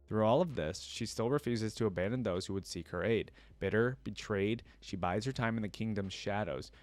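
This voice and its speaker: A man hosting a reaction, switching between reading a story aloud and informal commentary where he thinks aloud. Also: on the low side. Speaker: reaction video host.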